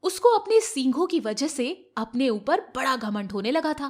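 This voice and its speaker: A woman's voice: high-pitched, sing-song, normal speed, a little mellow. A woman narrating scripted moral tales for children as a storyteller.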